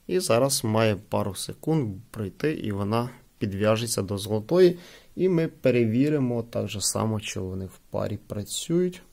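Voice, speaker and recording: A man's voice: lowish voice, expressive, normal speed, creaky, darkish tone, slight background noise. A man reviewing gadgets in a casual, explanatory hands-on style, often repeating himself. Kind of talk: casual hands-on product demonstration. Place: indoors at a wooden table.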